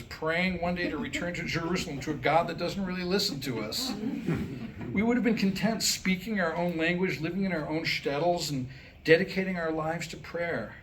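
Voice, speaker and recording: Wry man, slightly high voice, noisy room. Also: lively intonation, normal speed. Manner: wry, joking, rhetorical. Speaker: writer reading a comedic script aloud. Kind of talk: staged reading mixing comic monologue and history storytelling. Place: indoor staged reading with a few listeners reacting.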